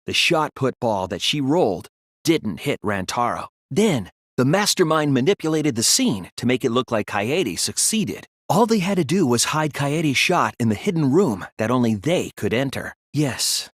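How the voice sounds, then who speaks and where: Teenage boy detective, earnest, analytical, resolute, deliberate, widely varied intonation, mid-pitched, dry close sound, video game dub. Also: sing-song, no echo. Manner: deliberate, earnest, explanatory delivery. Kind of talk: English video game dub, dramatic deductive dialogue.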